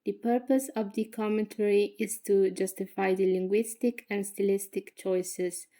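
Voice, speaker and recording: A young woman in her twenties with an Italian accent, reading a prepared presentation as a graduate student, careful and measured with small slips. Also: mid-range voice, warm tone.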